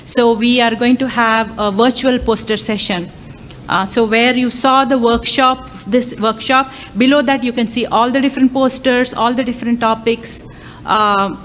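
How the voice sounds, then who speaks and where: A woman's voice: a little high, steady tone, normal speed, fairly bright tone, a little hiss or hum. A woman whose first language is Tamil, an AI lecturer and workshop chair, speaking live at a conference with many ums, uhs and restarts. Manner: hesitant, many fillers and restarts. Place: conference hall.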